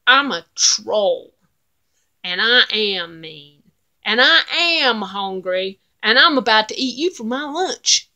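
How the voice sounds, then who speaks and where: A woman voicing a mean, hungry, dim-witted male troll who talks in a slow, loud, menacing drawl. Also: a little high, expressive, full character voice.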